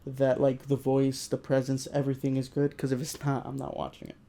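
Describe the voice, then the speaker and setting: A man's voice: medium pitch, monotone, brisk, creaky, mellow. A man co-hosting a film review podcast in casual, informal conversation. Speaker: podcast co-host reviewing films. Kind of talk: casual chat.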